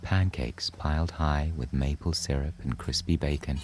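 A man narrating a scripted bedtime sleep story in a soothing, hushed, unhurried storytelling manner. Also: very deep voice, a little hiss or hum. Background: soft relaxation music.

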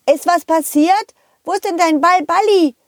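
A woman voicing a helpful little boy who stammers with excitement. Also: extremely high pitch, moderate pace, dry recording, clean studio sound, voice pushed up. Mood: eager, worried.

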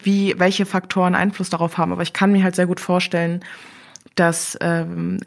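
A young woman answering interview questions thoughtfully, pausing often with fillers as she searches for her words. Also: fairly low pitch, a little mellow. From Germany. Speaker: interview guest. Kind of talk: reflective interview conversation.

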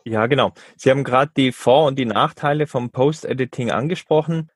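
A man's voice: medium pitch, steady tone, a little fast, no room sound. Man hosting a podcast interview, dry sound. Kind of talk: interview questions.

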